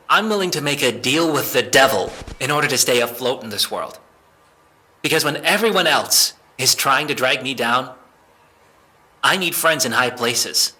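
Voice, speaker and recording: A man's voice: mid-range voice, pushed and bright. A man playing a desperate, defensive young man under questioning in an audio drama, stammering and exasperated as he speaks. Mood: desperate, weary.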